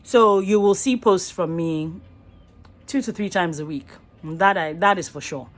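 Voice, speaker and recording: A woman's voice: fairly low pitch, unhurried. Earnest Nigerian woman vlogging on her phone. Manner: earnest, rambling, stops to rephrase. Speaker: actor and voice artist. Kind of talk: casual selfie vlog musing. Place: small indoor room. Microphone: phone at arm's length.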